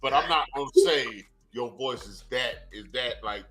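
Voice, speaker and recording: Lively, bright-voiced man joking around. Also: mid-range voice, moderate pace, soft background music, poor audio. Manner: animated, emphatic, joking. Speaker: podcast co-host. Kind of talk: casual chat.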